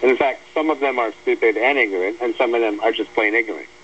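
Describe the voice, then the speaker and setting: A man's voice: medium pitch, fast talker, fairly bright tone. An American man giving blunt, emphatic, argumentative political commentary as a guest on a talk radio show. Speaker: guest commentator discussing an article they wrote. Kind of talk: opinionated political radio discussion. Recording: dull lo-fi radio audio, faint hum.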